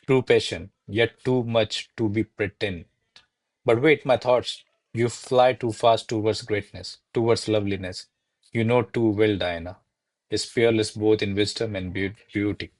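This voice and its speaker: A man's voice: on the low side, lightly acted. A man playing an anxious, lovestruck secretary to a countess in a classical radio play, musing and declaiming in theatrical verse. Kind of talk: verse stage comedy, recited as radio play.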